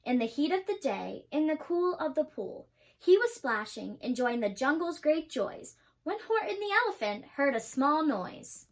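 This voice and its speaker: Young woman, children's storybook reader; high pitch, rhythmic and lively. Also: moderate pace. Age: young adult. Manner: rhythmic rhyming delivery.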